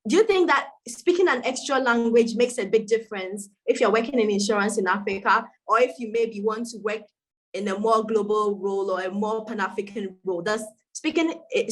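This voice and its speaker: A woman's voice: medium pitch, normal speed, poor audio. Friendly woman moderating an online panel. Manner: friendly, summarizing, lightly joking. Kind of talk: webinar moderation.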